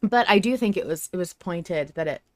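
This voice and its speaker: A woman's voice: lowish voice, expressive, a little fast, darkish tone, dry recording. A woman hosting a podcast, talking in a casual, conversational way. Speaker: podcast host.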